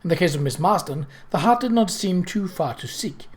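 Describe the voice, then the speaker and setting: A narrator reading a period novel aloud in an expressive way, with occasional stumbles. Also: medium pitch, brisk, husky. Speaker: audiobook reader.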